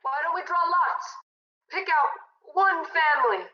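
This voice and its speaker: A woman's voice: very high voice, measured pace. A woman playing a desperate, indignant parent in a radio play, pleading and arguing emphatically. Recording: dull lo-fi audio.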